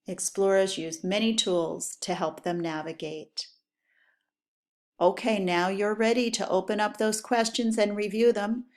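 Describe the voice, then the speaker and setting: A woman teacher reading educational text aloud for pupils, with clear, deliberate, instructional phrasing. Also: medium pitch, a little mellow.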